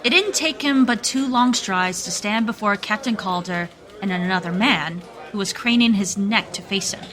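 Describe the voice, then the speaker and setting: A woman's voice: medium pitch, normal speed, hardly any pauses, a bit bright. A woman narrating a scripted radio drama in a storytelling style. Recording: music and crowd sounds behind. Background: music and crowd bed.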